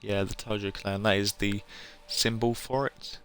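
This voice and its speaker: A man's voice: lowish voice, steady tone, unhurried, a bit bright. A man chatting casually as he livestreams a game, in a polite, reassuring and rambling way. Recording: music behind the voice. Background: game music.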